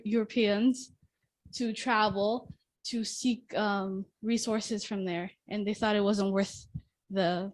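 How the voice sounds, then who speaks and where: A woman's voice: mid-range voice, no room sound. A young woman giving a class presentation and answering questions, talking with many restarts and fillers. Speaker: student presenter.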